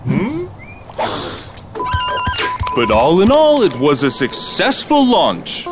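Cartoon tycoon: proud and grandiose, fairly high voice, lively swooping salesman delivery. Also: slow pace, exaggerated voice. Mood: self-satisfied. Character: proud, self-satisfied animal business executive. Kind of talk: cartoon voice acting. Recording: loud music under the voice.

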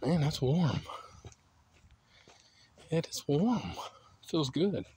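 A man's voice: on the high side, lively intonation, drawn-out words, mellow, a little hiss or hum. A middle-aged man talking casually into a phone held at arm's length while walking outdoors down a suburban street, rambling along easygoing with lots of fillers. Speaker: vlogger. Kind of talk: casual walking vlog monologue.

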